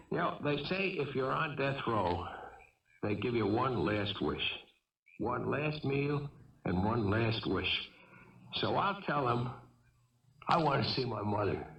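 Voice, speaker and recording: An actor playing a wounded fugitive gunman in a 1970s radio drama, jittery, bitter and wistful, speaking in halting, agitated bursts. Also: mid-range voice, sing-song, gravelly, a bit bright, exaggerated voice. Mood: rueful, weary. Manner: halting, agitated, repeating himself. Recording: dull, echoey vintage radio recording, distant mic.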